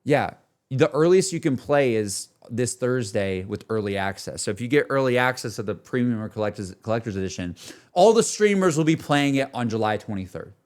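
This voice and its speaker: A male game streamer chats off the cuff in a middle-pitched voice. He rambles candidly, working through his thoughts aloud as he addresses his viewers in loose, everyday speech. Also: lively intonation, fairly quick, darkish tone. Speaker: game streamer talking to chat. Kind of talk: casual livestream chat, unscripted.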